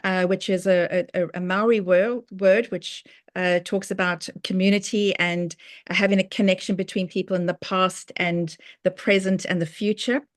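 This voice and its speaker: South African woman giving a relaxed talk. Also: on the low side, moderate pace, no room sound, studio quality. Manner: conversational, with fillers and restarts. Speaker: professional speaker presenting. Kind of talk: online presentation to a speaker chapter.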